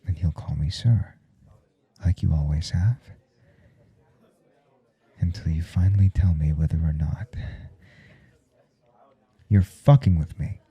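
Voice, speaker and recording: A man playing a blunt, intimate professor in an ASMR audio roleplay, speaking in a halting, confiding way with a teasing, domineering edge. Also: dull audio, exaggerated voice. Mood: teasing, commanding. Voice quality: low, gravelly, soft and dark. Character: adult male professor, intimate, callous, earnest, teasingly domineering.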